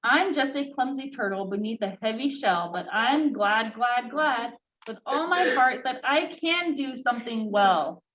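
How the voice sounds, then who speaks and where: Woman reading as slow, earnest young turtle, muffled. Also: on the high side, expressive, a bit bright. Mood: earnest, glad. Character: clumsy, self-doubting, kind-hearted young male turtle. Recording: dull lo-fi sound, clear background noise.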